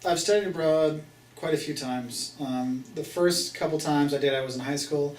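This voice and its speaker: A man's voice: fairly high pitch, normal speed, darkish tone, roomy sound, low-quality recording. A man in his thirties, a marketing professor and travel video host, talking casually to camera in a rambling, chatty way. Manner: rambling, chatty, full of fillers and restarts.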